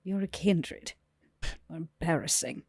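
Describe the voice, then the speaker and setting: A woman's voice: deep voice, slow pace, gravelly, darkish tone, breathy edge, no room sound, clean studio sound, heavily acted. A woman playing a haughty, commanding female vampire with a cool, imperious, clipped manner. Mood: commanding, cold.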